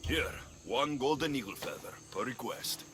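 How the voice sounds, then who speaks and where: A man's voice: medium pitch, expressive, moderate pace, fairly bright tone, lightly acted. An actor playing a cocky, sardonic male mercenary in a video game cutscene. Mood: wry. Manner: dry, sardonic, bargaining. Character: cocky, wry male mercenary in ancient Greece.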